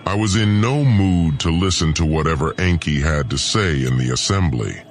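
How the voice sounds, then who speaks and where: A man's voice: extremely low pitch, animated, moderate pace, slight background noise. A man voicing an arrogant, scheming alien prince, pompous and sneering, narrating his own audio drama. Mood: scornful, gloating.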